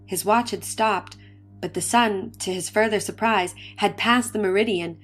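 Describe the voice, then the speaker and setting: A woman giving a dramatic, scripted reading of an old science fiction story as narrator. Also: mid-range voice. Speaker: story reader. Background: faint music.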